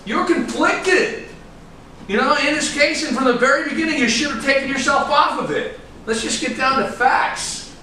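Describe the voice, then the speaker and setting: A man's voice: very high voice, normal speed, pushed and bright. A man playing a relentless, accusing police investigator in a staged reading of a crime drama. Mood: accusing, forceful. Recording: distant, very echoey room, clear background noise.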